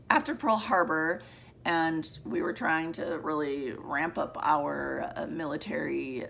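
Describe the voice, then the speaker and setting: Woman, deep gravelly fry-heavy voice, slightly cracking, casual rambling book chat, faint background hiss. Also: a bit bright. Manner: chatty, rambling, trails off and restarts. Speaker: book vlogger. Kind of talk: casual monthly reading wrap-up.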